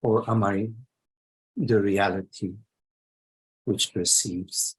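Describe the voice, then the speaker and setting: A man leading a guided meditation as a teacher, speaking in short phrases with long pauses between them. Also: fairly low pitch, steady tone, breathy edge, poor audio.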